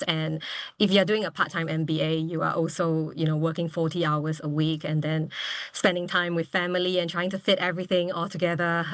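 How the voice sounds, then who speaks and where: A woman in her thirties from Singapore talks about her MBA over a video call, with a lowish voice. Her tone is frank and upbeat, and she laughs often. Her sound is dry and close. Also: a bit bright, dry recording. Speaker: MBA graduate guest. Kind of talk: candid webinar testimonial.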